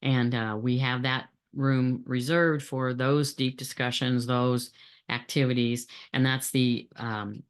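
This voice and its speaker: Halting, plain-spoken woman explaining. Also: a bass voice, little pitch movement, smooth. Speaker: supply chain trainer. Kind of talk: explanatory training presentation.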